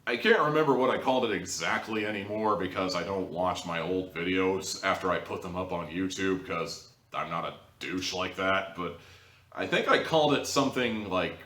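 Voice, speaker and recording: Male reviewer, lower register, wry and rambling, casual commentary with mock-dramatic stresses, roomy distant sound. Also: expressive, moderate pace, a bit bright. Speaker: audio drama reviewer, show host. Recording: roomy echo, distant mic.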